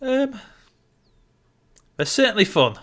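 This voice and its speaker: A man's voice: high-pitched, very animated, takes it slowly, fairly bright tone. A man co-hosting a show, discussing films in a casual, conversational way. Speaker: podcast co-host.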